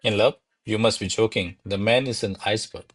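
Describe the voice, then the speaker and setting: A man's voice: fairly low pitch, steady tone, a little fast, close to an everyday voice. Man playing a wry, rambling servant. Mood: scoffing. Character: wry, grumbling male servant, cheeky and talkative. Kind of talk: comic servant role in radio play.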